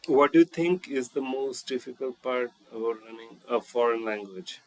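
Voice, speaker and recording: A man's voice: mid-range voice, moderate pace, darkish tone, poor audio. A man coaching a student, asking interview questions and correcting the answers. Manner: corrective, quizzing, switching into Bangla for tips. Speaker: English tutor.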